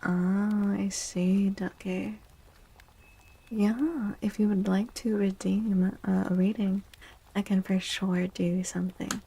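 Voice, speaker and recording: Soft, breathy, slow-talking woman, near-whispering. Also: medium pitch, level tone. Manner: slow, hushed, gentle, often slipping into near-whisper. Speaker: livestream host. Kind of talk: soft-spoken ASMR livestream chat.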